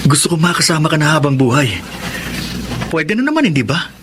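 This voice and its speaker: A man's voice: fairly high pitch, very animated, fairly quick. An actor playing an earnest, devoted young man in a Filipino radio drama, polite and a little hesitant. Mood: tender, hopeful. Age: young adult. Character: earnest, devoted young suitor and respectful son. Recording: noisy background ambience.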